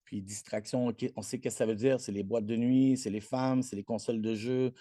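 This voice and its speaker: A man's voice: mid-range voice, fairly even intonation, a little fast, dark tone, dry recording, clean studio sound. A man in his forties with a Québécois French accent, Spanish his first language, chatting as an interview guest, boastful and full of restarts. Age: 40s. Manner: boastful and self-promoting, many restarts. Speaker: guest, athletic trainer. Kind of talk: casual interview chat.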